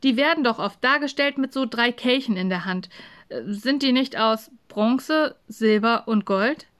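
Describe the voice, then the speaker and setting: A woman's voice: on the high side, unhurried, a bit bright, smooth. A woman voicing a curious, cheeky young schoolboy in a children's story, with a blunt, questioning manner.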